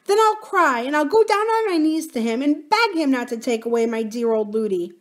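Woman as a high, earnest little princess. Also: animated, fairly quick. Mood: pleading. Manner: childlike, earnest, politely insistent. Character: earnest, polite, loyal little princess girl.

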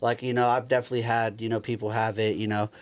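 A man's voice: mid-range voice, flat delivery, quick pace. A young man chatting casually on a group podcast, using lots of slang. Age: young adult. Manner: slangy, with frequent "bro" and "like". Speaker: podcast co-host. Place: small room with couches, indoors. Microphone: handheld microphone.

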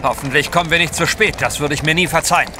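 An actor playing a gallant gentleman adventurer in an audio drama, well-spoken and urgent. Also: fairly high pitch, quick pace, noisy background, lightly acted. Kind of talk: German audio drama acting.

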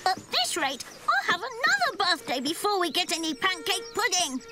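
A woman's voice: very high voice, big pitch swings, moderate pace. A woman voicing a small, impatient, cheeky young cat in a children's cartoon, indignant and whiny. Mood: impatient, demanding. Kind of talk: animated children's cartoon acting. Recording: loud music behind the voice. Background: music.

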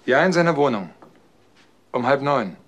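A man's voice: medium pitch, lively intonation, normal speed, natural voice. An actor playing a doctor's loyal, uneasy grown son in his twenties in a German crime radio drama. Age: 20s.

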